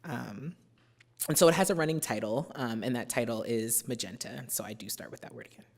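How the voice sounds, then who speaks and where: An American woman from New Jersey giving a poetry reading, chatting through her introductions with many fillers and reading the verse slowly and with care. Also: rumbling low, darkish tone. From New Jersey, USA. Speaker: poet. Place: university symposium venue.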